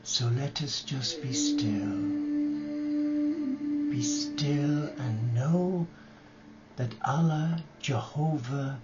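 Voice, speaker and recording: Very slow-speaking man leading guided meditation. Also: medium pitch. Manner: gentle, reverent, with short phrases and long pauses. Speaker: religious brother leading a prayer vigil. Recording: dull lo-fi live recording with music.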